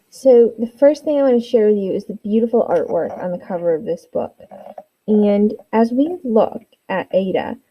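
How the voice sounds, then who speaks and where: A woman's voice: medium pitch, lo-fi sound. A woman teacher giving an instructional read-aloud for students, encouraging them with guiding questions.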